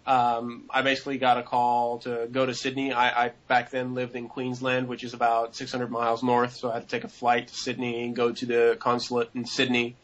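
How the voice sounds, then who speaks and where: A man's voice: mid-range voice, monotone, brisk, few pauses. A young man answering interview questions casually, rambling with many fillers and restarts. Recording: dull lo-fi audio.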